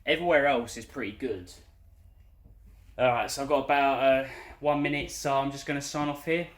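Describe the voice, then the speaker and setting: A young man with a London accent chatting casually as a bus driver, his voice hoarse and croaky, rambling with lots of fillers. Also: medium pitch, moderate pace, echoey room. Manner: rambling, filler-heavy, loses his train of thought. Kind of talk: casual vlog chat. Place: inside a bus cab.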